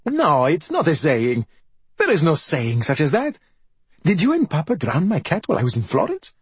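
Earnest young Italian son, voiced by a man, higher-pitched. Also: wildly swooping pitch, measured pace, vocal fry, darkish tone, breathy edge, no echo, heavily acted. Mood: indignant. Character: earnest, dutiful young Italian son, patient but exasperated.

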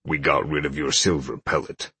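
A man voicing a gruff, terse male rebel leader in a fantasy story, with a growling, husky voice. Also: very deep voice, little pitch movement, normal speed, sharp tone, no room sound, voice pushed down, exaggerated voice. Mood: firm, businesslike. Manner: terse, bossy.

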